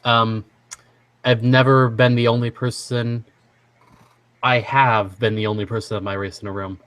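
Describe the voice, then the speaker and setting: A young man from Washington state hosting a casual online chat, easygoing and quick to laugh as he reads quiz statements. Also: mid-range voice, flat delivery, fairly bright tone, no room sound. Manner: easygoing, laughs often, halting restarts. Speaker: stream host.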